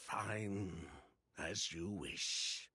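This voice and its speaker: A man voicing a guarded, genteel merchant with a past in a game, talking formally and smoothly with veiled condescension. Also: on the low side, pushed and bright, a little hiss or hum, exaggerated voice. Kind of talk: voiced game cutscene dialogue.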